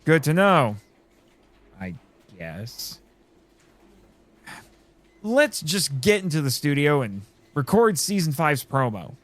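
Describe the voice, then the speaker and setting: A man's voice: a little high, sing-song, slow, pauses often, in a large hall. A man playing a weary, sardonic radio host in an audio drama, dry and dramatic, switching between first-person narration and dialogue. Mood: defensive, dry. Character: sardonic, weary young male radio host who survived a crash.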